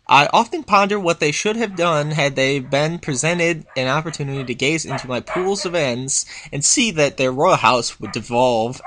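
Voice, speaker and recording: A man's voice: on the high side, pushed and bright, slight background noise. A man voicing a haughty, exasperated royal pony princess in a dramatic fan fiction reading, with pompous, scathing, formal diction. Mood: withering, disappointed.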